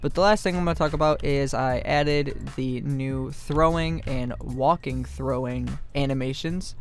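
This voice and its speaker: A man's voice: mid-range voice, steady tone, unhurried. A man talking casually as a game developer, explaining things in a rambling devlog commentary. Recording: music behind the voice. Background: background music.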